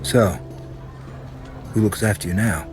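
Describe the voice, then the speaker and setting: An actor playing a stern, sardonic inquisitor in a grim medieval fantasy video game, with a gruff, dry, commanding manner. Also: male voice, on the low side, measured pace, soft background music, natural voice. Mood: firm, measured.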